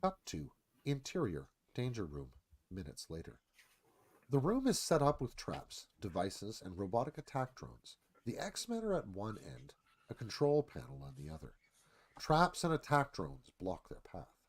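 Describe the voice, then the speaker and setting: Animated male screenplay narrator. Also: lowish voice, measured pace. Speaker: narrator reading stage directions. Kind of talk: dramatic screenplay read, radio theatre.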